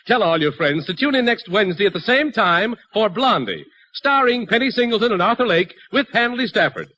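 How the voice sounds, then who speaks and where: Emphatic, high-voiced 1940s radio announcer man. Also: very animated, fairly quick, sharp tone. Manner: emphatic, salesmanlike. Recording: noisy 1940s radio broadcast.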